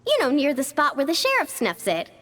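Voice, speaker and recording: A woman's voice: high voice, huge pitch swings, brisk, a bit bright, exaggerated voice. An actress voicing a blunt, casual young woman. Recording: game scene with faint music.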